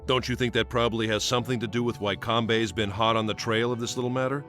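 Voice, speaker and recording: A man's voice: medium pitch, steady tone, quick pace, fairly bright tone, soft background music, lightly acted. A man playing a blunt special-ops agent in a video game, challenging and questioning what he is told. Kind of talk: English dub acting in a video game cutscene.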